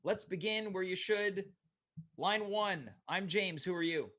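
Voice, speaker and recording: A man with a slightly rough voice hosting a call-in show, bantering in a playful, mock-earnest way. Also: high-pitched, measured pace, sharp tone. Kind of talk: comedic phone-in banter.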